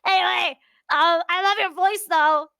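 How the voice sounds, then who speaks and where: A high, sharp-toned girl who fawns and gushes, stumbling over her compliments between giggles, put on by a woman. She sounds recorded close on a dry home mic, with no room around her. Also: steady tone, measured pace, vocal fry, no echo, full character voice. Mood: fawning.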